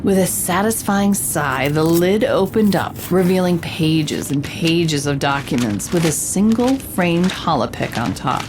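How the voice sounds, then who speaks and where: A woman's voice: lowish voice, expressive, measured pace, few pauses, background noise. A woman playing a bold, wry investigative reporter who narrates her case in a dramatic, noir-style audio drama. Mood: dramatic narration.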